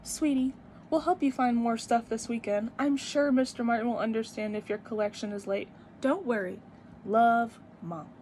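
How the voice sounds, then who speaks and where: A woman's voice: fairly high pitch, steady tone, normal speed, dark tone, a little hiss or hum, close to an everyday voice. A woman voicing a caring, helpful mother in a children's picture book, with a reassuring manner.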